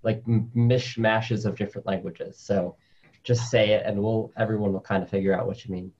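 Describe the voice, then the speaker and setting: A man's voice: lowish voice, steady tone, normal speed, a little mellow, lo-fi sound. A man who grew up in New York City after a move from Colombia, a spider scientist chatting casually as a guest and filling his talk with "like". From Colombia, raised in New York City. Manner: chatty, with many "like" fillers, laughs easily. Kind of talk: casual conversational interview.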